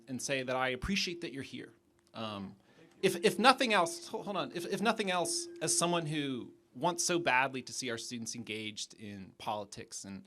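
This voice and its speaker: An American man, a professor, putting a polite, discursive question at a live public debate. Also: medium pitch. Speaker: sociology professor, audience questioner.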